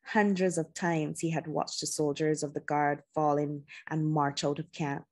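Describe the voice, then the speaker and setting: A woman reading an adventure novel aloud as a storyteller, with expressive, dramatic and deliberate phrasing. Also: low-pitched. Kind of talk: expressive scripted narration of a children's adventure novel.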